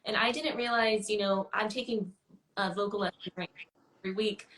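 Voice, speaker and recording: A woman with a medium-pitched voice answers as a guest in a casual interview. She speaks informally and a little hesitantly, thinking aloud. The sound is a livestream call with clear room echo. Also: fairly even intonation, fairly quick, fairly bright tone. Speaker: guest musician being interviewed. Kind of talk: casual chat. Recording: roomy, echoing livestream call.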